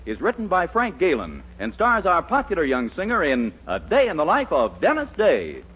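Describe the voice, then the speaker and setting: Very animated 1940s male radio announcer. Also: fairly high pitch, moderate pace. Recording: muffled old radio recording with faint noise.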